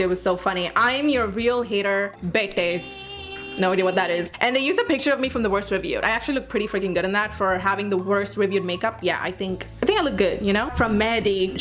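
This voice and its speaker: A woman's voice: mid-range voice, fairly bright tone. A young woman from Dubai with an Indian accent, sassily reading and answering comments in a casual vlog. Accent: Indian, Dubai-tinged. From Dubai, Indian family. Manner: sassy, sarcastic, fast asides. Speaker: beauty vlogger.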